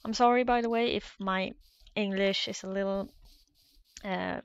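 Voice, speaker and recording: A woman's voice: mid-range voice, steady tone, measured pace, clean tone, highs cut off. A Norwegian woman with a Norwegian accent, hesitantly commentating her own Minecraft play in a casual, nervous way. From Norway. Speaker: Minecraft let's player.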